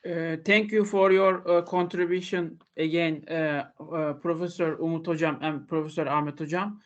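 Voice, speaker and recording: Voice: medium pitch, fairly even intonation, moderate pace, dull audio. A speaker moderating a conference session politely and hesitantly. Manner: hesitant, polite, filler-heavy.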